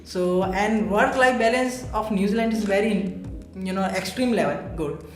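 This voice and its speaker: A man's voice: high-pitched, moderate pace, echoey room, faint music behind. A man from India hosting a casual podcast interview, chatty and full of "so" and "you know". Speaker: podcast host.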